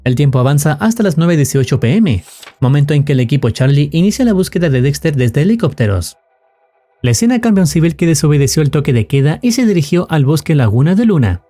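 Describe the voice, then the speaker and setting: A man's voice: fairly high pitch, big pitch swings, quick pace, warm tone, faint music behind. A man delivering formal, dramatic scripted narration that recaps an analog horror story.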